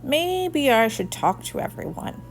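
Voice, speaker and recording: Woman playing a reassuring male teacher, animated delivery. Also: high voice, unhurried, voice pushed up, exaggerated voice. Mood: calm, reassuring. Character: friendly male schoolteacher, adult, calm and cheerful. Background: music.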